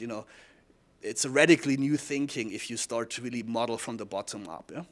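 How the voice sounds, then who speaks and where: A man with a German accent presenting and explaining in a talk, often checking in with short questioning tags. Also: mid-range voice. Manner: frequent questioning tags like "yeah?" and "right?". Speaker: technology foundation speaker giving a presentation.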